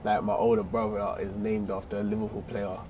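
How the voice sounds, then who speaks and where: A young South London man talks in an easygoing, slangy way as a guest. His voice sits a bit low. A faint hum sits behind him. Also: flat delivery, a little fast. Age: young adult. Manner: easygoing, laughs often, slangy. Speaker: guest, rapper. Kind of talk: casual chat. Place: small carpeted room, seated in armchairs.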